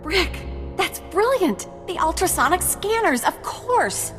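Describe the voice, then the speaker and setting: An actress playing an earnest research facility survivor in a video game, explaining things with concern. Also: female voice, very high voice, big pitch swings, slow, a bit bright, exaggerated voice. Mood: excited. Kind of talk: video game cutscene acting. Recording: long hall reverb, music behind.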